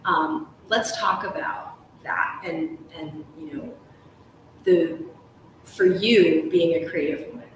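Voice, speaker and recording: A woman hosting a conversational book interview, effusive and discursive, pausing often as she builds long questions. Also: on the low side. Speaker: host and interviewer. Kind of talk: live on-stage style book interview, conversational. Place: large ornate hotel room, indoors. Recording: echoey room, distant mic, faint noise.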